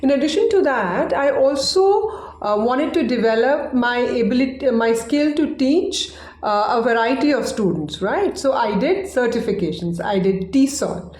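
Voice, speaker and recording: A woman's voice: a little high, animated, moderate pace, few pauses, a little mellow. Chatty Hindi-speaking woman teacher, echoey room. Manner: chatty, checks in with "right?". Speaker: English teacher. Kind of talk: casual online lesson.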